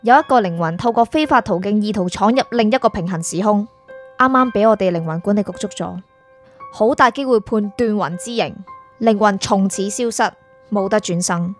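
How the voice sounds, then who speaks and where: 女聲演嚴厲冇耐性嘅女檢察官，港式粵語，有配樂. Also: female voice, mid-range voice, normal speed, close to an everyday voice. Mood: stern, official. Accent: Hong Kong Cantonese. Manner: curt, authoritative. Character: stern, impatient female spirit prosecutor interrogating a suspect. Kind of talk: radio drama acting. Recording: music behind.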